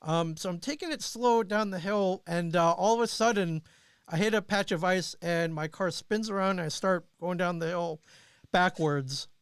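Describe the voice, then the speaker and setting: A man's voice: sits high, moderate pace, clean tone, no echo, clean studio sound. A middle-aged American man from Michigan, a guest casually telling rambling, self-deprecating anecdotes. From Michigan, United States. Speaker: podcast guest. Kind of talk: casual chat, personal anecdotes.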